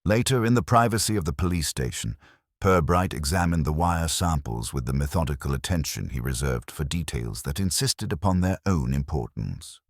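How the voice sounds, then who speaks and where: A man reading a cosy mystery as scripted narration in a measured, wry manner. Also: a bass voice, expressive, dry recording, studio quality.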